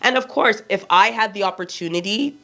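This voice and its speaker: A woman in her forties with a Nigerian accent talks straight to her viewers in a relaxed, chatty way. She is blunt and candid, and she poses questions to herself and answers them. Her voice sits at an ordinary middle pitch. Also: fairly quick. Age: 40s. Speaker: fashion vlogger. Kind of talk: casual chat to camera.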